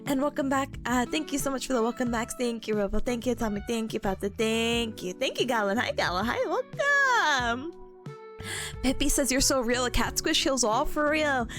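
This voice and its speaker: A woman's voice: a little high, expressive, normal speed, fairly bright tone, soft background music. A young woman streamer chatting casually with viewers, bubbly and giggly, her voice partly worn out. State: voice partly gone. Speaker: streamer hosting a study stream. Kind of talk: casual chat reading viewer messages.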